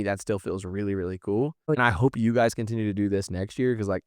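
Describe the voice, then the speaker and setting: A man speaks as a podcast guest in an earnest, encouraging way. His delivery is quick and casual, and his clear, resonant voice sits on the low side, recorded dry and close. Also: no echo.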